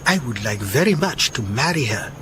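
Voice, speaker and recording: An actor playing a shy, kindly, worrying mechanic with a Southern African accent in a radio drama, speaking formally and hesitantly. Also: male voice, mid-range voice, very animated, normal speed, crowd noise, lightly acted. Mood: nervous, earnest. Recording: noticeable background noise, chirping night insects.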